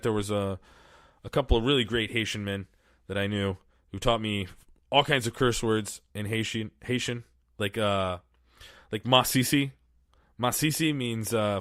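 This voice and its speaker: A man's voice: mid-range voice, fairly bright tone, dry recording, studio quality. A man hosting a solo podcast, reading aloud and then riffing in a casual, profane, rambling way. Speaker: podcast host. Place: small studio.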